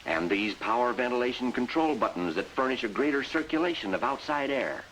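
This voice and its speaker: An actor playing a bossy, emphatic sales mentor who narrates and coaches in a 1950s industrial training film. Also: male voice, mid-range voice, expressive, brisk, a bit bright, a little hiss or hum, natural voice, poor audio. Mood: enthusiastic instruction. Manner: instructive, emphatic, salesmanlike delivery. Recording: vintage 1950s film soundtrack with music.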